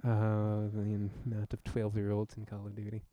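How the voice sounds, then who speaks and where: A man's voice: fairly low pitch, completely flat delivery, normal speed, dark tone. A man co-hosting a podcast in casual chat, with a wry, joking manner. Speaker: podcast co-host.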